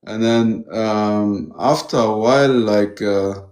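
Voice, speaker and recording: A man's voice: on the low side, slow pace, a little mellow. A man from Germany, a guest who runs a metal detector shop, talking casually and eagerly and often saying "you know". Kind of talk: casual interview chat. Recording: roomy echo.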